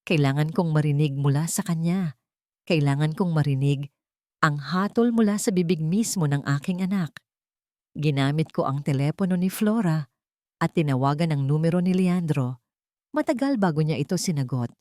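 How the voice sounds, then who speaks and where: A woman reading a scripted first-person dramatic story in a sorrowful, deliberate manner. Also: low voice, expressive, darkish tone, no room sound, clean studio sound. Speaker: story narrator.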